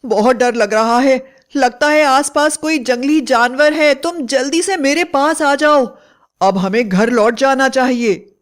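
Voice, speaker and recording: A woman's voice: sits high, measured pace, voice pushed up. A woman voicing an anxious, devoted, trusting young husband in a storybook tale, earnest and emphatic. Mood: frightened. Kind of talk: dramatized storybook acting.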